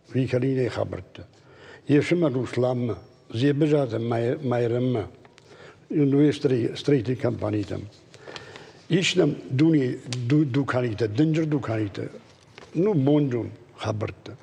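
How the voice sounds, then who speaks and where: A native Ossetian-speaking man with a raspy, gravelly voice, talking insistently and off the cuff, repeating himself. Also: medium pitch, unhurried, dark tone, a little hiss or hum. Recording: long reverb, echoey space.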